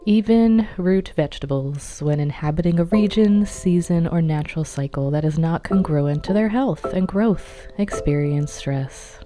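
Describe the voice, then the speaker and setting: A woman narrating a guided meditation in a calm, measured, instructional manner. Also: on the low side. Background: meditation music.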